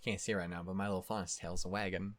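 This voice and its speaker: A man giving casual, off-the-cuff commentary to viewers as a reaction host, with animated asides and frequent swearing. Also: low voice, a little fast, dry recording.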